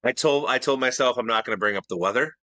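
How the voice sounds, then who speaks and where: A man's voice: mid-range voice, fast, sharp tone, no echo. A man co-hosting a podcast in casual, bantering conversation. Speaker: podcast co-host.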